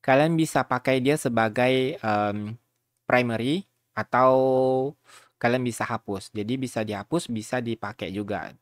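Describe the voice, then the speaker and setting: A man hosting a casual software tutorial, chatting informally and rambling a bit as he addresses viewers. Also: medium pitch, measured pace, no echo, clean studio sound. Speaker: video tutorial host.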